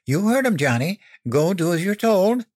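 A man acting a furious, domineering mother, pitched up. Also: very animated, moderate pace, darkish tone, dry recording, studio quality. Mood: stern. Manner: stern, indignant, emphatic. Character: furious, domineering mother scolding her son. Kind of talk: dramatic audiobook character acting.

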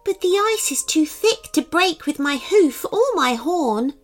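A woman's voice: lively intonation, measured pace. A woman voicing a polite, plaintive, whiny unicorn in a children's story. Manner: whiny, high. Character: troubled, polite, grateful unicorn with a plaintive whine.

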